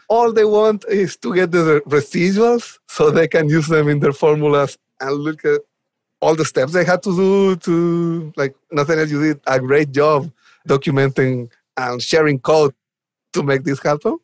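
Man, slightly high voice, enthusiastic, conversational interview answers, close dry room sound. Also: no room sound. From Chile. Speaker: podcast guest, software developer advocate.

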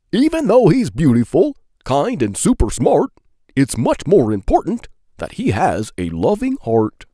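Male narrator for children's rhymes. Playful and expressive, big pitch swings, measured pace, very dry sound. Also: dry recording. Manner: playful, deliberate, sing-song delivery of rhyming verse. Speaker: children's book read-aloud narrator. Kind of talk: expressive children's poetry storybook reading.

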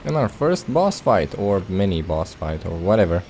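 A man's voice: deep voice, very animated, normal speed, a little mellow, slight background noise. A man giving casual, playful video game commentary, joking and muttering reactions as he plays. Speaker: Let's Play host. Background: video game sounds.

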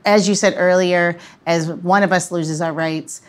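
A woman hosting a casual conversation, speaking earnestly in halting phrases. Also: lowish voice, fairly even intonation. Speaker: podcast host.

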